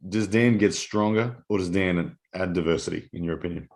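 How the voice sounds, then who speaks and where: A man commentating live on an arm-wrestling match, analytical and full of restarts, his voice going hoarse in the early morning. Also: low-pitched, a little fast, darkish tone. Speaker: armwrestling commentator.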